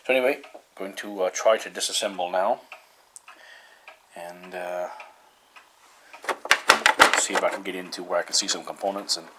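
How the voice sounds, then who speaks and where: A man giving a casual hands-on repair demonstration, talking haltingly with frequent pauses mid-sentence. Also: deep voice, steady tone, noisy background. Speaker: hobbyist host of a repair video series.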